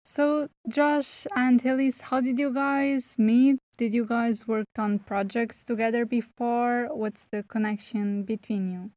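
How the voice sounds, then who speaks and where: A woman's voice: fairly high pitch, fairly even intonation, unhurried, hardly any pauses, darkish tone, no echo, low-quality recording. A woman from Portugal, hosting a podcast and reading a prepared introduction. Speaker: podcast host.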